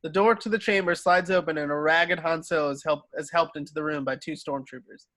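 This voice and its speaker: A man's voice: on the high side, fairly even intonation, fairly quick, fairly bright tone. A man narrating screenplay stage directions in an amateur table read, sometimes stumbling and rereading. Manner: stumbles over words and rereads.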